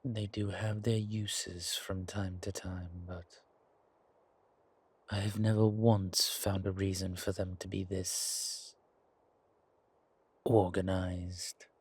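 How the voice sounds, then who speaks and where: A man playing a weary, wry vampire elder in an audio roleplay, speaking in a slow, sardonic drawl with formal, old-fashioned wording. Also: low voice, flat delivery, darkish tone, husky, no room sound, heavily acted. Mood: weary disdain. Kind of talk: dramatic audio roleplay acting.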